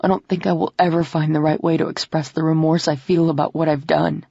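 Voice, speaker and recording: A woman voicing a remorseful man who explains himself in a low, steady, pleading way, with a husky, gravelly voice. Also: fairly quick, dry recording, lo-fi sound, exaggerated voice. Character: remorseful, commanding man explaining his faked death.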